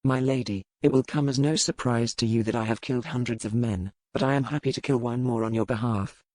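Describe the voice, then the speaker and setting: A commanding pirate captain, acted by a man, in a historical romance audiobook. He speaks formally and deliberately, with cold courtesy and a threatening edge. The sound is dry, with no room to it. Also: medium pitch, a little mellow, no room sound, lightly acted. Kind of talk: dramatized romance audiobook dialogue.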